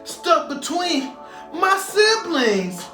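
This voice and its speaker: A man's voice: extremely high pitch, sing-song, extremely slow, sharp tone. A man hosting a children's storytime in a hyped, sing-song, call-and-response way, reading rhythmically to a beat. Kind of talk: rhythmic read-aloud over hip hop instrumentals. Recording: roomy echo with music. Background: hip hop instrumental music.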